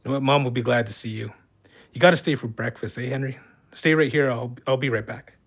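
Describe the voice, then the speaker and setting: A man's voice: medium pitch, quick pace, natural voice. An actor playing an awkward, kindly male cousin in an audio drama, with a hesitant, colloquial way of talking.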